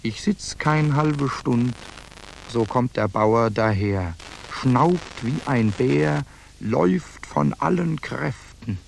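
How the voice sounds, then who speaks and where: A man's voice: medium pitch, expressive, takes it slowly, vocal fry, slight background noise, heavily acted, rough recording. An actor in a German radio drama playing a hardened soldier who narrates his own tale in archaic, clipped diction. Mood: grim, vivid.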